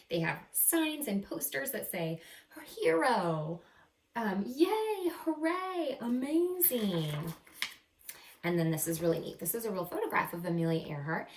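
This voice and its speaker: A woman reading a picture book aloud to children as a teacher, expressive and encouraging, pausing to explain words simply. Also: medium pitch. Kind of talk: animated children's read-aloud with simple asides. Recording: roomy echo, distant microphone.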